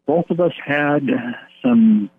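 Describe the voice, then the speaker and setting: Man, pastor from the United States, earnest and rambling, phone interview, slightly husky, thin phone-line sound. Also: fairly high pitch, slow. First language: English. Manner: earnest, rambling with fillers and restarts. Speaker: pastor of an English-speaking church abroad.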